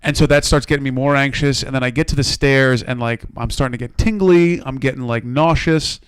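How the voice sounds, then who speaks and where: Slightly hoarse American man telling comic stories. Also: medium pitch, a little fast, no room sound. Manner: profane, self-deprecating, rambling. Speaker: podcast co-host. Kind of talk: casual comedic storytelling among friends.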